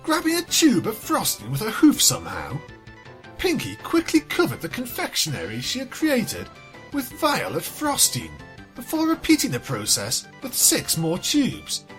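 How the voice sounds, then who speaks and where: A woman narrates a story in a theatrical, wry style. Her voice is fairly high and extremely expressive, taken at a leisurely pace. Music plays behind her. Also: a little slow. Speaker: fan fiction reader, narrator. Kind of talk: dramatic scripted story narration.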